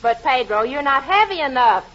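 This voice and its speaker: An actress playing a brash, folksy, wisecracking American gal with slangy clowning delivery in 1940s radio comedy. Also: female voice, high voice, lively intonation, normal speed, fairly bright tone. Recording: very noisy, dull old radio recording.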